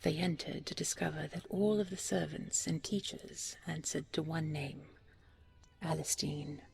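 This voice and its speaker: A woman narrating a scripted bedtime fairy tale in a soft, breathy, soothing and unhurried way, as a storyteller. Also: low-pitched, fairly even intonation.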